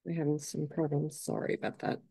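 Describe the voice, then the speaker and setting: A woman's voice: low-pitched, level tone, a little fast, mellow, slightly rough, no room sound. A woman organizing the seminar, apologizing while sorting out technical problems with the slides. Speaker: event organizer.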